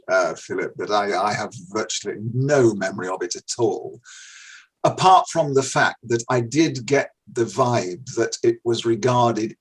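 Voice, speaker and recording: A man's voice: on the low side, slightly rough, rough recording. An older man, an actor giving a casual, anecdotal interview.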